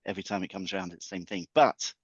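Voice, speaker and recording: A man's voice: low voice, very fast, vocal fry, slightly rough, no room sound, dull audio. A man on a podcast as a guest market analyst, chatting playfully and packing his points with football metaphors. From England. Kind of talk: podcast chat.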